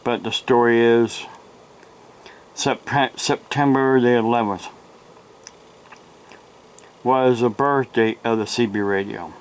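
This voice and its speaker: An American man hosting an informal, unscripted hobby vlog, talking in a halting, rambling way with long pauses. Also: mid-range voice, steady tone, clear, resonant tone, low-quality recording. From United States. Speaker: YouTube radio hobby host.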